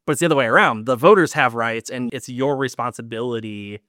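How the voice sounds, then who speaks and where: A man's voice: medium pitch, fast, pushed and bright, no room sound, studio quality. A man hosting a panel discussion, asking long questions with a wry edge. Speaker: host, moderator.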